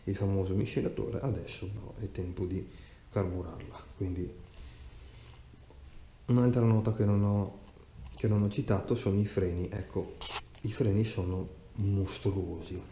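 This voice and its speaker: A man's voice: low-pitched, fairly even intonation, normal speed, pauses often, warm tone. A man chatting casually about his motorcycle, hesitant and full of fillers, recorded hands-free in a cluttered garage. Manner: rambling, hesitant, many fillers and restarts. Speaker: motorcycle vlogger. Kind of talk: casual unboxing vlog talk. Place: cluttered garage at night, indoors. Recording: dull roomy garage recording with faint hiss.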